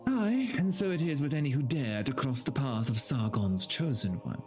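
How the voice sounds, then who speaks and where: A man's voice: medium pitch, expressive, moderate pace, a little mellow, faint music behind, close to an everyday voice. A man voicing a deferential noble courtier in a fantasy story, speaking formally in archaic phrasing. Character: deferential male noble courtier reporting to his ruler.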